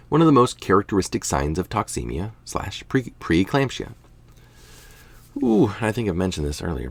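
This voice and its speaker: A man's voice: low voice, gravelly. A man reading a scientific health article aloud, now and then adding a casual remark of his own.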